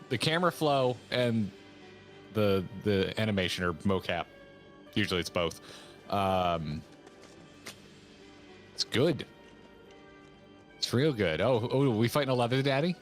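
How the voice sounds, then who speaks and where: Low-voiced man reacting over loud-ish music. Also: animated, slow pace, long pauses. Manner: wry, swears casually. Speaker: co-host of a gaming reaction stream. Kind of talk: casual reaction commentary on game cutscenes.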